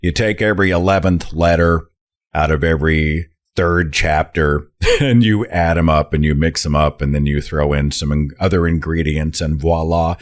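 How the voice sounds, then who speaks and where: Very deep-voiced male podcast host. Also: fairly even intonation, moderate pace. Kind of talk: conversational interview.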